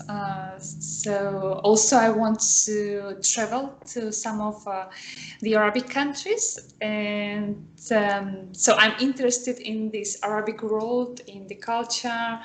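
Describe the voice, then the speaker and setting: A woman's voice: medium pitch, echoey room, a little hiss or hum, poor audio. A woman whose first language is Spanish, a student in an online lesson, talking hesitantly with many fillers. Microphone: video call.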